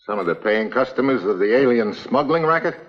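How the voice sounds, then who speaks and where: An actor with an American accent playing a brisk, impatient investigator in a vintage drama, talking in clipped slang on a dull old recording. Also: male voice, medium pitch, lively intonation, lightly acted. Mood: businesslike. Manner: clipped, slangy, hard-boiled. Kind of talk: old-time radio drama acting.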